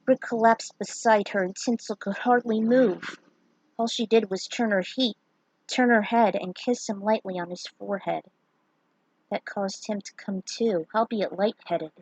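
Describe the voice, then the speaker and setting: Woman author reading her own story, earnest and unpolished with small slips, plain medium pitch, dry sound. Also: steady tone, unhurried, darkish tone, husky, dry recording, rough recording. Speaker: fan fiction author. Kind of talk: reading her own story aloud, then a casual afterword.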